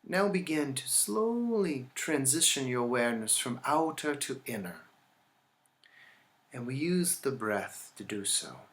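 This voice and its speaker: A man with a mid-pitched voice leads a guided relaxation session as a yoga teacher. He speaks calmly and instructively, leaving deliberate pauses between short phrases.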